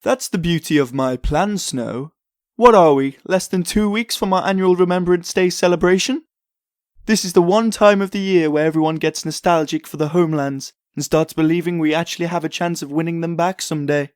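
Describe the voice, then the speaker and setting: An actor playing a vain, scheming prince in a comic dub, suave and self-satisfied. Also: male voice, on the high side, fairly quick, no room sound, clean studio sound, natural voice. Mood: scheming, eager. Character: vain, smooth-talking, scheming broke prince.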